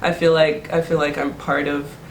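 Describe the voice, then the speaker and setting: A woman's voice: deep voice, level tone, moderate pace, slight background noise. A young woman from Taiwan in a casual interview, talking loosely with lots of "like" and restarts. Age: young adult. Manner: rambling, many "like" fillers and restarts. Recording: echoey room.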